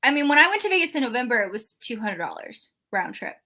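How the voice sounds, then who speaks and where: A woman's voice: on the high side, big pitch swings, fast, bright, forceful tone. A woman co-hosting a live book readalong show, chatting casually and animatedly with a friend. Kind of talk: casual chat between friends.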